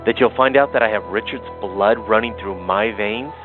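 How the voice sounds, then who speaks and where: A brash younger brother played by a male actor needles and defies in an audio soap opera. His voice is fairly low, thinned by a phone-like recording with faint music behind. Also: moderate pace, lightly acted. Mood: defiant, taunting. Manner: cocky, needling. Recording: dull, phone-like narrow sound.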